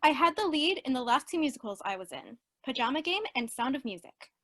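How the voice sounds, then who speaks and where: An eager, youthful eighteen-year-old girl, played by a woman in a radio drama. Her voice is high and bright with an earnest manner, recorded dry and close. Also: big pitch swings, a little fast, no echo, heavily acted. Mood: nervous, eager. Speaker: actor in a radio play. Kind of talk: radio play acting.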